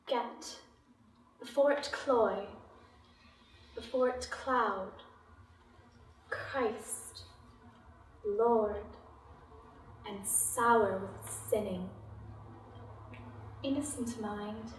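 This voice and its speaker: Very slow woman reciting poetry. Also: fairly high pitch. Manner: deliberate, with short phrases and long pauses. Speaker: poetry reader. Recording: echoey room, distant mic, faint noise.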